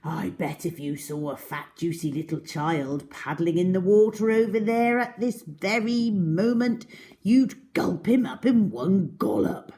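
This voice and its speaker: A woman's voice: on the low side, mellow, natural voice. Woman voicing a huge boastful greedy storybook crocodile. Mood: gleeful, scornful. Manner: boastful, relishing, drawn-out words. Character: enormous greedy boastful male crocodile, scheming child-eater. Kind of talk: storybook character reading.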